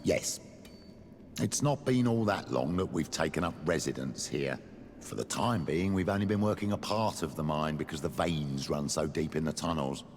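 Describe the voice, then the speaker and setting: An actor playing a practical, cautious village miner in a fantasy game, speaking in a plain, measured way. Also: male voice, a bass voice, long reverb tail, a little hiss or hum. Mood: matter-of-fact. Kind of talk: game dialogue.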